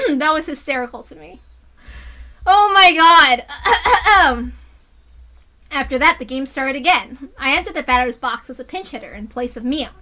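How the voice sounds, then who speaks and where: A woman reading a story aloud in a lively, dramatic, giggly and excitable way. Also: high-pitched, poor audio. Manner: giggly, excitable, breaks character laughing. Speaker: reader doing a let's-read of a visual novel. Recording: music behind the voice. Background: music.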